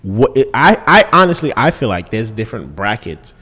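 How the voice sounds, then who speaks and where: A man co-hosting a podcast in casual chat, rambling with repeated words and fillers and getting animated when he asks questions. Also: medium pitch, normal speed, slightly rough, big-room sound. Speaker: podcast co-host.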